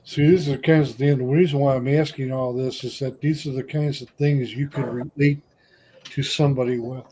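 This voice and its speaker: A man's voice: medium pitch, fairly even intonation, a little fast, mellow, a little hiss or hum. Encouraging man giving friendly advice. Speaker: group leader asking questions. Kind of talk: casual group chat.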